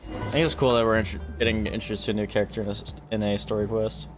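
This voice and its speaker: A man giving casual live gameplay commentary as a streamer, relaxed and slangy. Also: lowish voice, very fast. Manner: laid-back, slangy. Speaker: gaming streamer. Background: faint game music.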